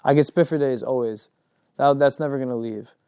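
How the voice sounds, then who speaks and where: A man's voice: medium pitch, a little fast, dark tone, smooth, no echo, studio quality. A young man, a rapper and singer, talking casually in an interview, rambling and filling his sentences with "like". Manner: loose and rambling, heavy on "like".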